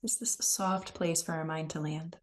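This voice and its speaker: A woman leading a guided meditation in a gentle, unhurried, soothing manner. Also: low voice, fairly even intonation. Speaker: meditation teacher.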